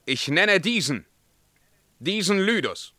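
Sharp-voiced, brooding emperor, slowly declaiming. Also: male voice, high-pitched, sing-song, no echo, exaggerated voice. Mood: imperious, solemn. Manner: declamatory, rhetorical, swelling from hushed to commanding. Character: brooding Emperor of Byzantium, imperious, tormented, self-doubting. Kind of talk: 1950s German radio verse drama acting. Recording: dry 1950s radio studio recording.

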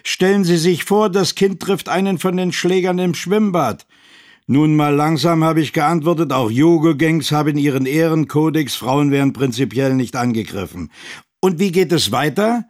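A man's voice: on the high side, moderate pace, a little mellow, close to an everyday voice. An actor playing a street-wise, scornful man telling his side in a radio drama monologue. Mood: mocking.

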